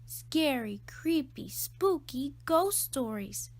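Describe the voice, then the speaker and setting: Woman playing an excited, high-voiced little boy. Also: takes it slowly, exaggerated voice. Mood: spooky. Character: excited, boastful young boy making big plans. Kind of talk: children's storybook read-aloud.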